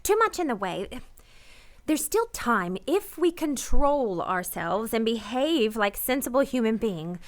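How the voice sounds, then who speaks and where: A woman's voice: on the high side, big pitch swings, measured pace, no echo. An actress playing a wistful, restrained married woman torn by forbidden love in a radio play, speaking earnestly and hesitantly. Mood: anxious pleading. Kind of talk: radio play acting with first-person narration.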